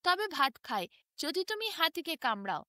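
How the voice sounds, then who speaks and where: Storybook tailorbird, played by a woman: tiny, quick-tempered, wheedling in bright sing-song. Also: very high-pitched, sing-song, no room sound, clean studio sound, heavily acted. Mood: bargaining. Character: small indignant pleading tailorbird, quick to anger.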